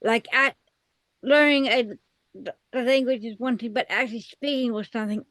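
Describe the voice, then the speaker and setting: A woman leading a relaxed Bible study, her voice a touch higher than most and recorded dry and close. She talks through ideas at an easy pace and keeps the conversation going with quiet affirmations. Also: normal speed, dry recording. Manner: affirming, frequent "mm-hmm". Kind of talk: casual group discussion.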